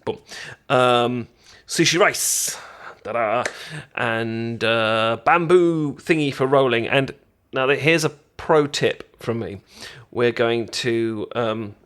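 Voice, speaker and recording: A British man acting out an eager, friendly best friend in a casual ASMR roleplay, with a halting, stammering, rambling way of talking. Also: mid-range voice, fairly bright tone, clear, resonant tone. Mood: eager, instructive. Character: friendly, eager young male best friend teaching sushi-making.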